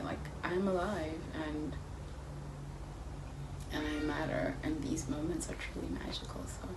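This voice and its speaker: Soft-spoken young woman musing aloud. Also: deep voice, noisy background. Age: 20s. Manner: earnest, musing, trails off into soft near-whispers. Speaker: vlogger. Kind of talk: reflective personal vlog.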